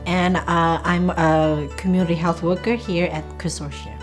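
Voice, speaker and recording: A young woman from Thailand whose first language is Karen, speaking as a community health worker in an interview. She talks haltingly, with many "uh" fillers. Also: on the low side, steady tone, faint music behind. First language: Sgaw Karen.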